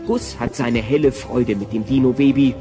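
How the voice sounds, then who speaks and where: A man's voice: medium pitch, moderate pace. A man narrating a children's audio drama in a scripted storytelling style. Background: music.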